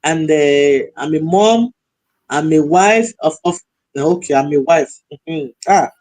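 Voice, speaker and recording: A woman's voice: sits low, slow pace, a little mellow. A middle-aged woman from Nigeria, a pastor, chatting on a video call with laughs and a preacher's way of talking. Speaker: pastor and panelist. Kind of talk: casual panel chat.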